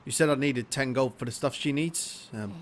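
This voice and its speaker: A man's voice: medium pitch, normal speed, slight background noise, natural voice. An actor playing a poor working father with a sick daughter, hesitant and tender. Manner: hesitant, tender with his child, then desperate.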